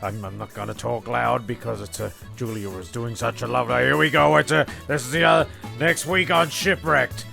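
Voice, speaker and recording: Gravelly male host, medium pitch, halting improvised comic narration, rambling, repetitive, music behind. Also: steady tone, bright, forceful tone. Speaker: host and narrator of comedy audio show.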